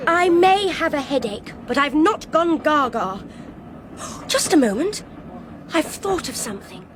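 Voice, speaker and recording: A woman's voice: sits high, very animated, unhurried. An actress playing an insistent, anxious young Englishwoman on a train in a radio drama. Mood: determined. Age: young adult. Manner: urgent, determined. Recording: noisy train ambience behind voice.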